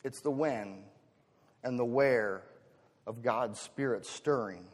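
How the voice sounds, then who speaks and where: A man's voice: medium pitch, slow. American male preacher in a reverberant hall. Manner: rhetorical questions, conversational asides, emphatic swells. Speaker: pastor preaching to congregation. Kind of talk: sermon. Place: large reverberant church hall.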